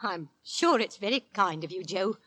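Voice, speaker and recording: A woman's voice: medium pitch, animated, normal speed, sharp tone. An actress playing a middle-aged, easily shocked working-class London landlady and mother in a 1940s radio drama, with a reproving manner. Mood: prim. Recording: vintage 1940s radio recording.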